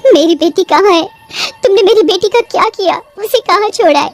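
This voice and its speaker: A loving young wife acted by a woman in a cartoon drama. She speaks high and expressively, full of feeling, with faint music underneath. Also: normal speed. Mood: anguished. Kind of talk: Hindi horror cartoon voice acting.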